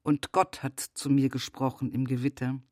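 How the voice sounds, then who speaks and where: An actor playing a solemn man who speaks a poetic, portentous radio play monologue in a measured way. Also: mid-range voice, flat delivery, darkish tone, dry recording, clean studio sound, lightly acted. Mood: ominous, solemn. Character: solemn man telling of an ominous otherworldly storm.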